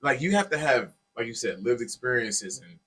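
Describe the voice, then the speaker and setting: A man with a bright, forceful voice that has some rasp in it. He co-hosts a podcast, explaining himself earnestly in loose, informal language, as if among friends. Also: mid-range voice, lively intonation, moderate pace. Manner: informal, peppered with "man" and "dude". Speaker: podcast co-host. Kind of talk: casual, earnest chat.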